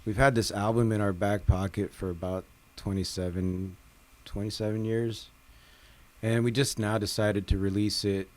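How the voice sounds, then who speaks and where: A man in a band giving a casual interview, halting and tongue-in-cheek. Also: on the low side, steady tone. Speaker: band member being interviewed.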